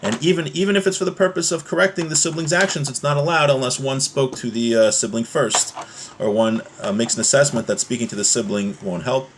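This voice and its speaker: A man teaches an informal religious class in a medium-pitched voice. He moves between reading a text aloud and explaining it in everyday words. He restates each point step by step, as a teacher would. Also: steady tone, a little fast, noisy background.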